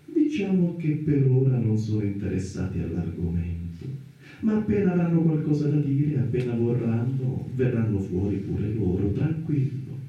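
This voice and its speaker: A man's voice: mid-range voice, big pitch swings, normal speed, mellow, close to an everyday voice. A goading inner voice acted by a man, teasing and insistent, in a radio play. It sounds muffled and distant, swimming in heavy echo. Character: provocative inner voice, a goading second self. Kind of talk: Italian radio theatre dialogue. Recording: echoey, distant, muffled radio drama recording.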